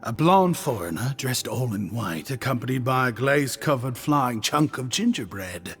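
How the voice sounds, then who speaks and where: A man's voice: mid-range voice, very animated, moderate pace, a bit bright, in a large hall, soft background music. An actor playing an affable, chatty young male shopkeeper in a video game, effusive and breezy with a self-deprecating streak. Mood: breezy, curious.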